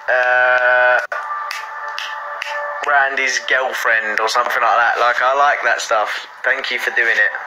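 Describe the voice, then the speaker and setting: A man's voice: mid-range voice, slow pace, music in the background, low-quality recording. A young man lounging on a couch, chatting casually to fans in a phone livestream, reading comments aloud and thanking them, sometimes breaking into a few sung lines. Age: young adult. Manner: relaxed, thanks fans, sings snippets. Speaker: band member streaming live. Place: indoors, lying on a couch. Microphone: phone camera held at arm's length.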